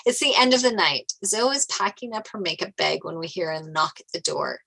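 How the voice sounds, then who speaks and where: A woman's voice: lowish voice, expressive, brisk, gravelly, sharp tone, rough recording. A woman reading screenplay stage directions as scripted narration.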